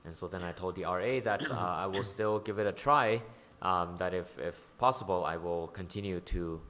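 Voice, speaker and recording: A man's voice: low voice, moderate pace, fairly bright tone. A man interpreting a sermon into English, hesitant, with frequent ums and uhs. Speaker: church interpreter.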